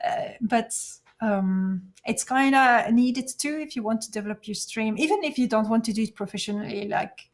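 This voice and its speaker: A woman from Belgium with a French accent, a native French speaker, chatting easily as a podcast guest and often laughing or pausing to find her words. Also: on the high side. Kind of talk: casual interview chat.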